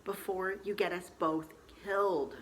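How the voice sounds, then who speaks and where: A woman's voice: medium pitch, steady tone, measured pace, a little hiss or hum, close to an everyday voice, rough recording. A woman voicing an insistent, indignant young female jogger in a storybook, pleading urgently. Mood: pleading, calmer.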